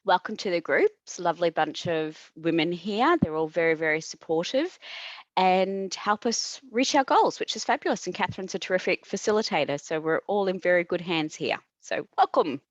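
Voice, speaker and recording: A woman with a somewhat low voice chats warmly on a video call. Her audio is dull and lo-fi, yet dry and close. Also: lively intonation, fairly quick, no echo. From Australia. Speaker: naturopath and group member. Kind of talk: casual welcome.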